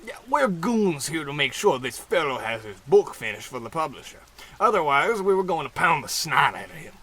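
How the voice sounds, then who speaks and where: A man's voice: a little high, sing-song, normal speed, sharp tone, full character voice. A man playing a cocky, wisecracking hired goon with a blustery tough-guy manner in an old-time radio comedy. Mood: civil, menacing.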